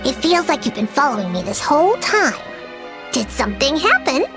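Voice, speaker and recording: A woman's voice: high voice, sing-song, moderate pace, over music. A woman voicing a small, curious, kindly girl companion in a video game. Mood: friendly, caring.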